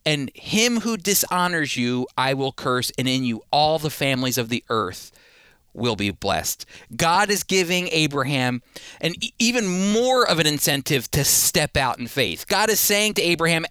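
A man preaching in an expository storytelling style, speaking emphatically with deliberate pauses and direct appeals to his listeners. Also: fairly high pitch, sharp tone, clean tone, dry recording. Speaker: preacher teaching a Bible series. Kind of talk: sermon, expository storytelling with scripture reading.

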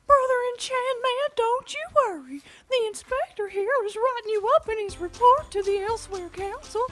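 Squeaky, earnest little monster pastor. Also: female voice, lively intonation, moderate pace, fairly bright tone, soft background music. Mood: concerned. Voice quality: squeaky, cartoonish. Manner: earnest, florid, self-important. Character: fussy, earnest, scheming small-town monster pastor. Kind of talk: audio comedy drama acting.

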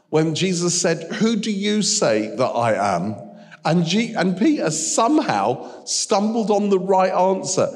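A man preaching a humorous sermon as a guest speaker, telling stories with jokey, animated asides, in a church hall. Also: high-pitched.

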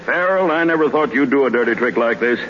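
An actor playing a stern, suspicious baseball club boss with an American accent, sarcastic and accusing, in 1930s radio drama. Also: male voice, mid-range voice, expressive, fast talker, fairly bright tone, lightly acted. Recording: muffled, noisy 1930s radio broadcast.

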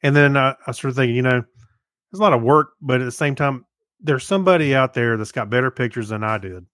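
A man with an American accent co-hosting a podcast, chatting casually in an informal, halting way. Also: medium pitch, a bit bright, no echo, clean studio sound. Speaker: podcast co-host.

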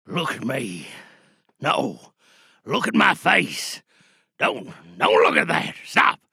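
A man playing a dying, infected space commander, gruff and desperate, barking strained orders with a rasping voice in a sci-fi audio drama monologue. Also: medium pitch, wildly swooping pitch, slow pace, bright, forceful tone, dry recording, clean studio sound, heavily acted. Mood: desperate, commanding. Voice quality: gravelly, rasping.

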